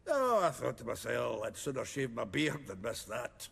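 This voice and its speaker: A hearty, teasing bearded fellow from a fantasy game. His voice is thick with gravel and rasp, at an average pitch, with long reverb around it. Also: very animated, normal speed, fairly bright tone, full character voice. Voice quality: gravelly, creaky. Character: jovial, teasing, bearded male friend of the witcher. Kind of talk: video game dialogue acting.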